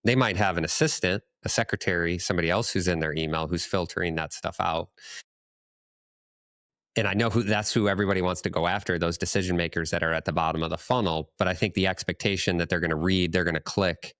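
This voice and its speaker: A man's voice: low voice, fairly even intonation, quick pace, a bit bright, no echo, clean studio sound. A man hosting a podcast in a conversational style, thinking aloud and feeling out his phrasing as he goes. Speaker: podcast host.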